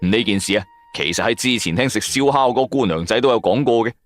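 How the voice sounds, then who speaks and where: A man's voice: medium pitch, expressive, brisk. A man with a Cantonese accent narrating a supernatural audio drama in the first person, colloquial and dramatic. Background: background music.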